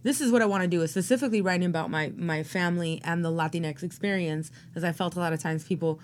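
Reflective woman guest, lowish voice. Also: fast, slight background noise. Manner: rambling, reflective, many fillers. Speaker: actor and playwright guest. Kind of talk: interview conversation.

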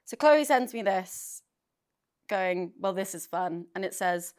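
A woman's voice: medium pitch, expressive, takes it slowly, darkish tone. A young woman, the host's sister, chatting casually as a guest, giggling and mocking herself. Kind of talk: casual chat.

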